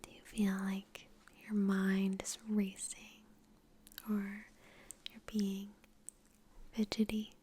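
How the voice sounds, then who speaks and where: A woman whispering gently and unhurriedly in a breathy voice, close to the microphone, guiding an ASMR meditation with long pauses. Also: mid-range voice, completely flat delivery, a little hiss or hum. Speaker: ASMR creator and meditation guide. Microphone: close microphone in front of the face.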